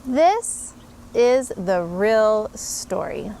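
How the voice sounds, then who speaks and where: A woman, a mother, reading a picture book aloud to her children in an animated, expressive way with playful emphasis. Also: medium pitch, drawn-out words, a little hiss or hum. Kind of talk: storybook read-aloud.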